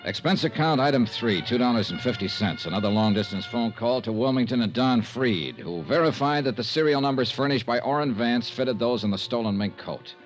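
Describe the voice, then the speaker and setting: Male actor for a wry insurance sleuth in vintage radio drama, medium-pitched, animated inflection, businesslike first-person delivery. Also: a little fast, few pauses, soft background music, close to an everyday voice, low-quality recording. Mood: dry narration. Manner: dry, clipped, matter-of-fact. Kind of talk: old-time radio detective drama acting, first-person narration.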